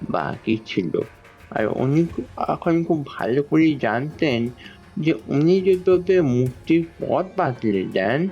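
A man playing a grave village priest in a horror audio story, speaking solemnly and deliberately. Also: on the high side, dark tone, highs cut off. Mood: ominous. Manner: solemn, deliberate, fatherly address to a younger woman.

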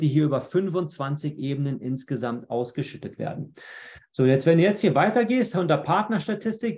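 A man's voice: a little high, darkish tone. A man presenting a webinar, walking viewers through a dashboard on screen, explaining step by step with frequent fillers and restarts. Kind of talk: screen-share walkthrough.

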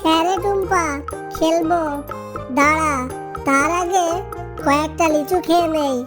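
Woman as a high-pitched, friendly little boy cub. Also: a little slow, over music. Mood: cheerful, friendly. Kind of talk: children's cartoon dubbing.

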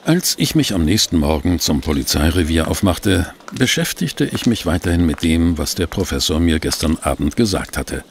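Wry, gravelly reporter, lively delivery. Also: male voice, low voice, normal speed, hardly any pauses. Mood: pensive narration. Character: dogged, cheeky male newspaper reporter, narrating his detective adventures. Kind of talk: German audio drama acting and narration.

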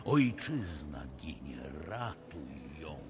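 An actor playing a scheming aristocratic opposition plotter, haughty and insistent, in a radio drama. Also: male voice, a bass voice, big pitch swings, extremely slow, heavily acted. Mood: goading. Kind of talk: theatrical radio drama acting. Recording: crowd noise behind.

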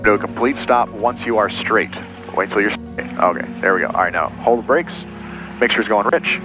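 A man's voice: lowish voice, normal speed, music in the background, poor audio. A man working as a flight instructor, giving short repeated commands and encouragement over cockpit intercom audio.

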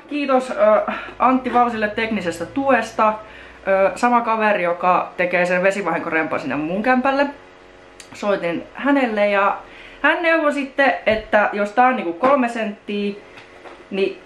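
A woman's voice: medium pitch, slight background noise. A young woman in her twenties vlogging a do-it-yourself renovation, chatty and self-mocking, cursing casually as she talks to a handheld camera in a bare room. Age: 20s. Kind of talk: casual DIY renovation vlog. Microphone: handheld camera mic. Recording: echoey empty room with background music.

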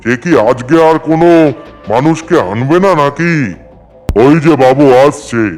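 Cartoon casting: man as strict ruler of the dead, higher-set male voice, bossy and reproachful. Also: measured pace, faint music behind, lightly acted. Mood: annoyed, commanding. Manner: scolding, commanding. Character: stern, scolding lord of death judging sinners in the underworld. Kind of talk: Bengali cartoon voice acting.

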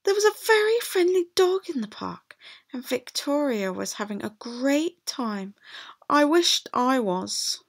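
Lady doing a bored lonely little boy from a picture book, high voice, slow and plaintive. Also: sing-song, exaggerated voice. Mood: wistful, amazed. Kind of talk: storybook read-aloud.

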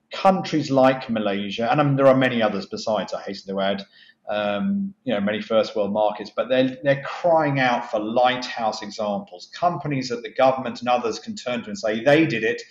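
Male executive, 50s, low register, emphatic interviewee; echoey, muffled video-call sound. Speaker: postal company chief executive, interview guest. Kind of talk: conversational interview answers. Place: office, indoors.

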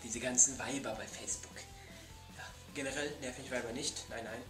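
A man's voice: medium pitch, fairly even intonation, brisk. Young guy ranting to his webcam, fed up, repeats stuff, music behind, roomy. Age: young adult. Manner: emphatic, repetitive, exasperated. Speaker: video blogger. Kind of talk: ranting comedic vlog. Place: bedroom, indoors. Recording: echoey room, music behind.

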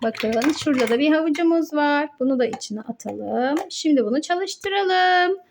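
A woman's voice: sits high, expressive, normal speed, very noisy. A woman narrating pretend play for children, improvising simply, step by step. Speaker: presenter of children's toy play videos.

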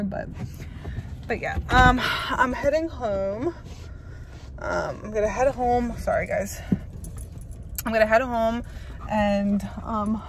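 A woman's voice: on the high side, background noise. Chatty young woman vlogging on her phone. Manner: chatty, rambling, lots of ums and likes. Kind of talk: casual chat to camera. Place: home living room and car. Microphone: handheld phone at arm's length.

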